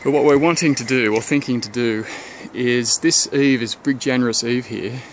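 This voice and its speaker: A man of medium pitch talks through his renovation plans while filming on a handheld camera. He speaks in a relaxed, unhurried way, often stopping to rethink and restart his sentences. A faint hiss sits under the outdoor recording. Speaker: homeowner giving a renovation tour. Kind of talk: casual thinking-aloud walkthrough. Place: outdoors around a house, under a deck and in a garden. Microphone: handheld camera held by the speaker.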